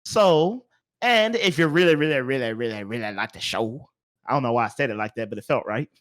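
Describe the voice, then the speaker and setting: A man's voice: mid-range voice, lively intonation, a little fast, fairly bright tone. A man hosting a casual podcast chat in a playful, teasing, slangy way. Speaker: podcast host.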